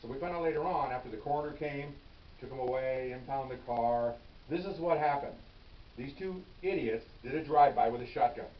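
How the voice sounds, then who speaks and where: A retired police officer, an older man, telling a story from his career to the camera in a casual, animated way and acting out the shouting. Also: mid-range voice, a little fast. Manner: animated, acts out his own yelling. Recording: roomy, distant, dull with hiss.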